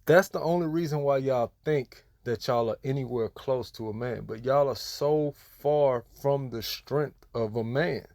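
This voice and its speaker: A man's voice: medium pitch, unhurried, few pauses, darkish tone, dry recording. An American man delivers preachy commentary in a mid-range voice. He is admonishing and emphatic, hammering points through repetition. The sound is close and dry, with very little room. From United States. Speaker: religious commentator.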